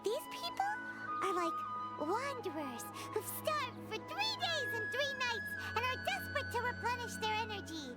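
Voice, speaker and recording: A woman voicing a tiny, bubbly fairy companion in a video game, chatty and blunt, with orchestral music behind. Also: moderate pace. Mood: reflective. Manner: squeaky, excitable, refers to herself by name. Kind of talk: dubbed video game cutscene. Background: orchestral game score.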